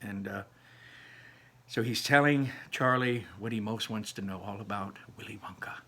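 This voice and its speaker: A man's voice: lowish voice, normal speed, a bit bright. A man reading a children's story aloud and commenting informally, in an earnest, encouraging way.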